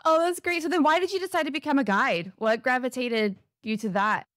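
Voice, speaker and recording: An enthusiastic woman hosts a casual podcast interview, her voice a touch high and bright in tone. She talks quickly and eagerly between questions. The sound is dry and close, with no room around it. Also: dry recording. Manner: upbeat, enthusiastic, fast-talking. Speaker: podcast host.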